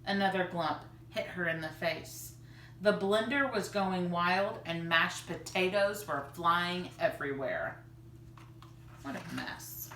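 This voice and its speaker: A woman reading a children's story aloud in an expressive, animated storytelling style. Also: fairly low pitch, slow pace. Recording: roomy, distant mic, faint noise.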